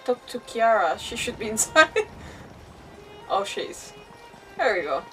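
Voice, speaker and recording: A woman's voice: a little high, big pitch swings, takes it slowly, far from the mic, low-quality recording. A woman livestreaming a game, chatting casually and reacting aloud as she plays. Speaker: game streamer. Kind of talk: casual livestream gameplay commentary. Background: faint game music.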